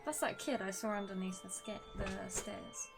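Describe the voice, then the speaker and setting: A woman's voice: mid-range voice, normal speed, gravelly, over loud music. Young woman doing a chill lets play at home, reads the game text, swears a bit. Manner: chatty and swears casually, reads story text aloud. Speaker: gaming video creator. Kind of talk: casual let's-play commentary, reading game text aloud. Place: at home with her dog.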